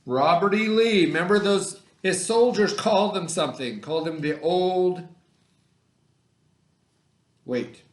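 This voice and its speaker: A man's voice: high voice, measured pace, pauses often. A middle-aged man teaching children in a conversational way, often checking understanding with questions and repeating himself. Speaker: elementary school teacher. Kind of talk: read-aloud lesson for children, casual explaining. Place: indoor room, remote video lesson. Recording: echoey room.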